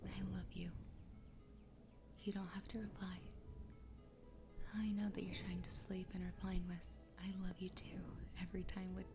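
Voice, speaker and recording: A woman playing an adoring, obsessive fox girl wife in an ASMR roleplay, whispering breathily and close to the microphone in a slow, intimate, soothing way. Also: mid-range voice, close to an everyday voice. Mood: soft, adoring. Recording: close whisper with clear background noise.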